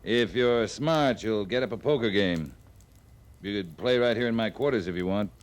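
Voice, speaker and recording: An actor playing a world-weary, no-nonsense cavalry captain, curt and dry, in old-time radio western style. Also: male voice, on the low side, moderate pace, lightly acted. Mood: dry, gruff. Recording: noisy old radio recording.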